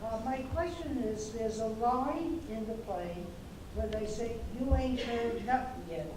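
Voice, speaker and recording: A woman with a slightly high voice asks an audience question. She is distant from the mic in a very echoey hall with clear noise. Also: measured pace. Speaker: audience member asking a question.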